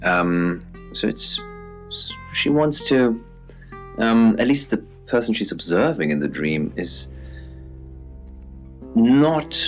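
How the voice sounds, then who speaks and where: Poe, deep creaky deadpan radio host. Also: male voice, lo-fi sound, exaggerated voice. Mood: musing, hesitant. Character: Poe, eccentric male radio host and curiosity shop keeper. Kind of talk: late-night radio show banter. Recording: band-limited radio sound over music.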